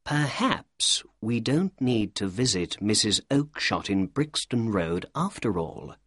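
A narrator playing a confident, shrewd male detective in an audiobook story, courteous, deliberate and assured. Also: mid-range voice, huge pitch swings, fairly bright tone, no room sound, studio quality, pitched above the performer's own voice, heavily acted. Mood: quietly thoughtful. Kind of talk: audiobook character dialogue.

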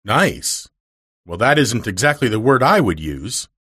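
A man's voice: mid-range voice, big pitch swings, normal speed, a bit bright, voice pushed up. A man voicing a stern, severe, wealthy middle-aged woman who speaks stiffly and dryly, in a storybook reading.

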